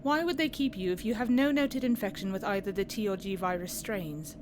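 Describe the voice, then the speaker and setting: A woman's voice: medium pitch, a little fast, background noise, natural voice. A woman playing a businesslike interrogator who puts formal, pointed questions to a soldier in an audio roleplay. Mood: skeptical. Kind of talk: dramatic audio roleplay acting.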